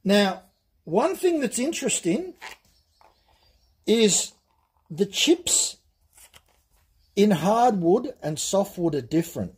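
A man explaining things step by step in a relaxed, plain-spoken way during a workshop demonstration. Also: high voice, slow, long pauses, breathy edge. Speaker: chainsaw channel host. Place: workshop bench.

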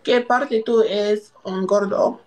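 A young woman with an American accent, a native English speaker, hosting a casual chat with a hesitant, coy, deadpan delivery. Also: mid-range voice, slow pace. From California, United States. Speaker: livestream host. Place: room indoors. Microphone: phone at arm's length.

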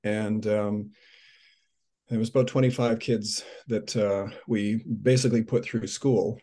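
A man introducing himself on a video call, a charity supporter who speaks hesitantly with frequent pauses and restarts. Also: lowish voice, fairly even intonation, dark tone. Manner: hesitant, many fillers and restarts. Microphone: webcam on video call.

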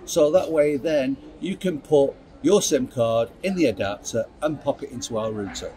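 A man's voice: normal speed, dark tone. A man in his fifties presenting and demonstrating a product kit at a trade show stand, filmed on a close phone camera. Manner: upbeat sales pitch, handling kit while explaining. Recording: trade show floor with clear background noise.

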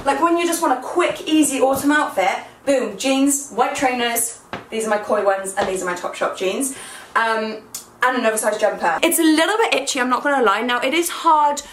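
A young woman with a croaky, hungover voice, chatting excitedly in a casual try-on haul vlog. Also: high voice, normal speed, slight background noise. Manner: excitable and gushing. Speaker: fashion vlogger. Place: small bedroom, indoors. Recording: echoey room.

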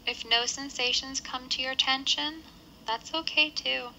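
Woman doing a guided meditation, very bright crisp-edged voice, a bit high, gentle step by step phrasing, faint noise behind. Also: fairly even intonation, poor audio. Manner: measured, instructional, gentle phrasing with pauses. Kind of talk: guided body scan meditation.

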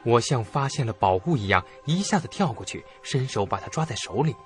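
Animated male suspense storyteller. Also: mid-range voice, brisk, soft background music. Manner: expressive, theatrical storytelling. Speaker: first-person narrator of a horror story. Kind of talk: dramatic suspense audio-drama narration.

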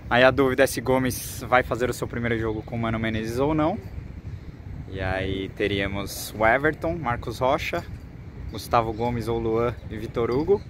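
A young Brazilian man talks in a medium-pitched voice as an informal sports reporter for a fan channel. He goes haltingly, repeating words as he goes. He is outdoors on a phone held at arm's length, with clear ambient noise around him. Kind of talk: informal football vlog report to camera. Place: outdoors on a football training pitch. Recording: outdoor noise with distant vehicles.

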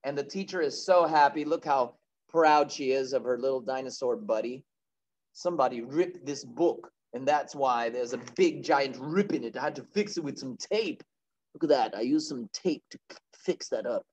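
A man's voice: medium pitch, normal speed, darkish tone, highs cut off. A man reading aloud to young children as a kindergarten teacher, chatty, asking questions and answering them himself.

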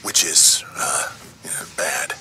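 A man's voice: drawn-out words, bright, forceful tone, in a whisper, full character voice. A man playing a dry, offhand, tech-savvy schemer in a video game. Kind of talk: video game character acting.

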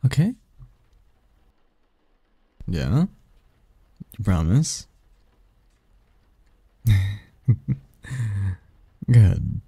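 A man's voice: on the low side, wildly swooping pitch, extremely slow, creaky, pauses often, dark tone, husky, dry recording, heavily acted. A man voicing a doting, possessive sleep demon lover in an intimate romantic ASMR roleplay, teasing and tender in courtly phrasing. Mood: tender, affectionate.

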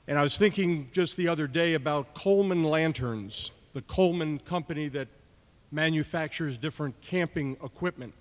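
A man's voice: on the high side, normal speed. A man in his fifties moderating a panel, giving a prepared introduction to an audience at a stand microphone in an auditorium. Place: large auditorium stage. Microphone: table stand microphone. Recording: echoey large hall through PA.

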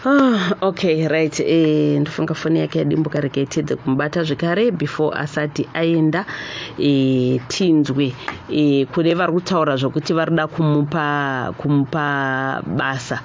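A woman's voice: deep voice, normal speed, creaky. A middle-aged woman with a Zimbabwean accent hosts a radio talk show. She moves between Shona and English in a live call-in style. The studio sound is a little dull, with faint noise underneath. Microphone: studio microphone on boom arm.

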